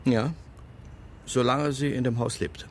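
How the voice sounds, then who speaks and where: An actor playing a frail old lawyer in a radio play, guarded and sardonic, speaking in a measured, formal and pointed way. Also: male voice, mid-range voice, fairly even intonation, a little hiss or hum, natural voice. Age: older.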